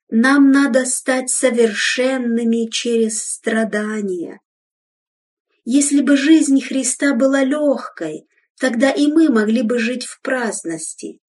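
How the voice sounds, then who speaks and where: A woman giving a solemn, measured devotional reading in an exhorting tone, heard from some distance from the microphone. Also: a little high, darkish tone, husky. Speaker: reader of devotional text.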